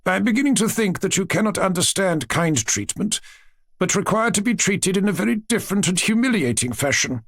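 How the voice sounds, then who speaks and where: Man acting a high-voiced old aristocratic grandmother, imperious, wounded, slow emphatic scolding, swooping intonation, storybook reading. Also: lively intonation, a bit bright, husky, no room sound, clean studio sound, heavily acted. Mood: stern.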